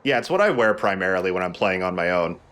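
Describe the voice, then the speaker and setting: A man's voice: sits low, lively intonation, fast talker, a bit bright, clear, resonant tone. A man hosting a let's play with casual, informal commentary, enthusiastic about small details. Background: game music.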